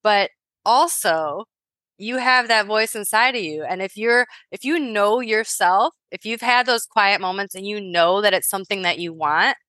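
A woman's voice: medium pitch, normal speed, pushed and bright, no echo. A woman in her thirties, a yoga teacher co-hosting a podcast, telling a personal story in a chatty way, laughing and restarting her sentences. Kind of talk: casual podcast chat.

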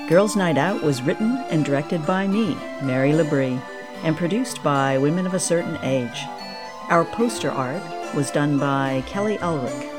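A woman reads scripted credits and thanks to close an audio drama podcast. Clear music plays behind her voice. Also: sits low. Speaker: writer-director reading show credits. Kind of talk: scripted podcast outro announcement.

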